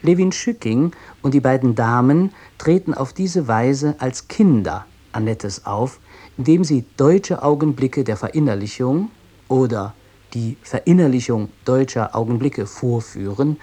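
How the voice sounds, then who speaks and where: Guy reading out a formal author's intro, soft mellow voice, very measured and scholarly. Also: mid-range voice, expressive. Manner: formal, measured, academic phrasing. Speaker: author introducing his radio play. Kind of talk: scripted introduction read aloud.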